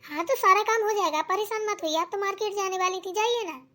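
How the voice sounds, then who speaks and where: Casting: very high, girlish village housewife for a family cartoon , voiced by a woman, gossipy and full of complaints. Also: fast, sharp tone. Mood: bickering. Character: chatty village daughters-in-law and nagging mother-in-law, grumbling.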